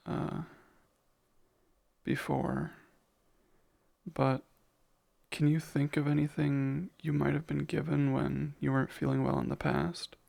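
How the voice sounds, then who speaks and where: A man playing a gentle, protective vampire caretaker in a soft ASMR roleplay, soothing and a little hesitant, with formal wording. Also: fairly high pitch, gravelly, full character voice.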